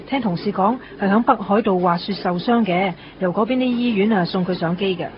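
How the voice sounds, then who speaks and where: An actress playing an offhand, matter-of-fact airport staff member in a radio drama, with a Hong Kong Cantonese accent. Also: female voice, medium pitch, brisk, close to an everyday voice. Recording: dull recording, noisy background ambience.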